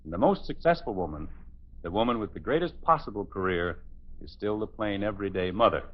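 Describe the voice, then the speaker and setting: A man's voice: fairly low pitch, expressive, a little fast. An actor playing a stern but loving middle-aged American father in a 1950s radio sitcom, emphatic and given to speechifying. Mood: earnest, tender. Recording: dull old radio recording.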